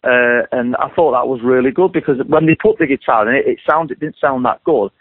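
Deep-voiced man on a tinny line. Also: a little fast, no room sound. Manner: rambling, many restarts and repeated words. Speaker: singer-songwriter guest. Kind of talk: casual interview talk. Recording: dry tinny phone line.

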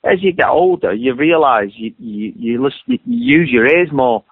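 A middle-aged man with a deep voice, a singer-songwriter rambling through an interview with many restarts and repeated words. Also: lively intonation, moderate pace, slightly rough, dry recording. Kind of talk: casual interview talk. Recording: dry tinny phone line.

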